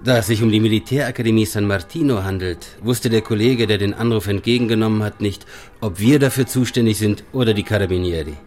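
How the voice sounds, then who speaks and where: A man's voice: on the low side, fast, darkish tone, soft background music, natural voice. An actor playing a deferential male police sergeant, dutiful and matter-of-fact, in a German radio drama.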